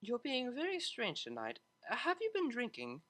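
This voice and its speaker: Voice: medium pitch, huge pitch swings, moderate pace, pushed and bright, voice pushed up. A narrator voicing a refined young female pony cellist who is prim and a little awkward, speaking formally and hesitantly in an audio storybook. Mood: wary. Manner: proper, hesitant, formal wording.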